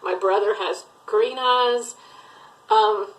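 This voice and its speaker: A middle-aged woman from Alabama talks through a casual get-to-know-me video, rambling from one point to the next with plenty of fillers. Her voice sits at an ordinary middle pitch, and her manner is chatty and easygoing. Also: slow pace, rough recording. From Southern US, Alabama. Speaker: thrift reseller vlogger. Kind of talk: casual tag video chat.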